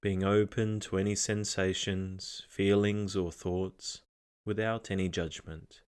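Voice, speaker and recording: A man narrating a scripted guided meditation, giving gentle instructions in a calm, measured manner. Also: deep voice, smooth.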